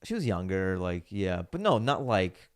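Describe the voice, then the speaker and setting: Man, comedian, casual chat, low voice, lively pitch, quick jokey remarks. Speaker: comedian co-host.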